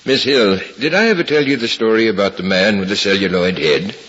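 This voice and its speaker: An actor playing a kindly, candid American doctor in a 1950s radio drama, reassuring and avuncular in manner. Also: male voice, lowish voice, brisk, fairly bright tone, slightly rough. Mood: playfully storytelling. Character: kindly, candid male doctor coaxing a patient. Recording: dull, hissy old radio transcription.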